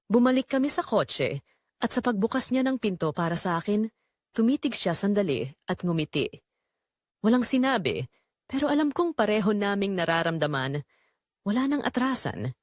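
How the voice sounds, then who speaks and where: A woman with a Filipino accent narrates a dramatic first-person romance story in a measured, expressive way. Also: fairly low pitch, dry recording, clean studio sound.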